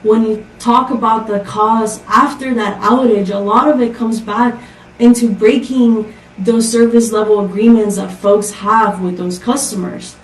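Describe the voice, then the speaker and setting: Woman from costa rica giving a tech talk, kinda high voice, sounds far off in an echoey room. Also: fairly even intonation, a little slow. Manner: upbeat, rhetorical questions. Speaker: conference speaker. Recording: echoey, distant from the mic.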